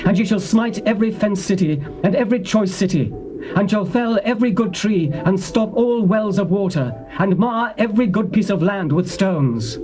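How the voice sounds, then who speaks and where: An actor performs a commanding prophet who declaims each pronouncement with solemn weight. The voice sits high and carries over music in the background of this scripture dramatization. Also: male voice, moderate pace, a little mellow, natural voice. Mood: proclaiming. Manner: solemn, declamatory, proclaiming oracles. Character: stern commanding male prophet.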